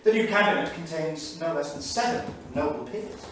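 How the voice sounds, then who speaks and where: An actor playing a grave, anguished English intellectual diarist of 1938, reading aloud in a measured, erudite and emphatic stage manner. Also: male voice, a little high, natural voice. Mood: sardonic, alarmed. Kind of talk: theatrical staged reading of a diary. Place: small theatre studio, indoors. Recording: echoey theatre studio from far off, faint noise.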